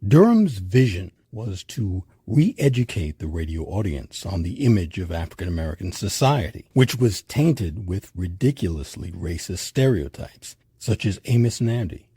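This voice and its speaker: A man reading scripted history documentary narration in a measured, emphatic way. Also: low-pitched, warm tone, slightly rough, dry recording, clean studio sound. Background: music.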